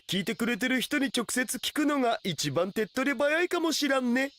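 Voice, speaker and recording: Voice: high voice, animated, normal speed, a bit bright. A performer playing a laid-back, nerdy young male otaku in a Japanese anime radio drama, talking in a casual, slangy way. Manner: casual, lazy drawl with internet slang.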